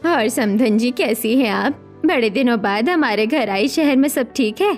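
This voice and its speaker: A woman voicing a gentle, hospitable elder sister-in-law in a dramatized Hindi story. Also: fairly high pitch, expressive, unhurried, faint music behind. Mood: gentle, welcoming.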